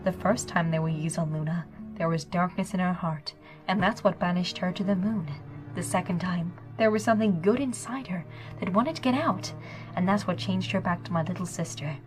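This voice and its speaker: A woman playing a regal elder pony princess in an audio drama, calm, stern and solemn in a measured way. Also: on the low side, lightly acted, low-quality recording. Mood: grave, calm. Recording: music behind the voice.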